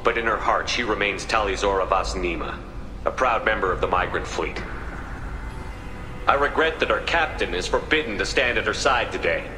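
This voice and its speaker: An actor playing a calm, firm male starship captain in a game cutscene. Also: fairly low pitch, moderate pace, lightly acted. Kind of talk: video game cutscene dialogue. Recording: echoey game mix with music.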